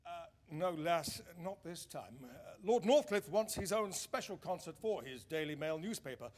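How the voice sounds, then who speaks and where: Actor as a high-voiced, matter-of-fact wireless man. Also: animated, normal speed, a little mellow, lightly acted. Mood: informative. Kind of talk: period radio drama acting.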